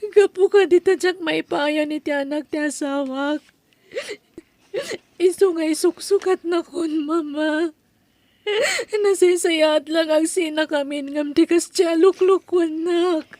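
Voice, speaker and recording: Very high, slow, wounded Ilocano wife, played by a woman. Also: fairly even intonation, a little mellow, dry recording. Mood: hurt, tearful. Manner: emotional, plaintive. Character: betrayed young wife, wounded, proud and bitter. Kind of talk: Ilocano radio-style family drama.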